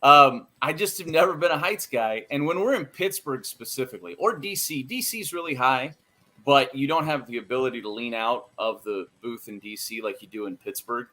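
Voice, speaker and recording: An American man chatting casually as a podcast guest, telling anecdotes in an animated, self-deprecating way. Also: medium pitch, moderate pace. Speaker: interview guest, radio play-by-play announcer.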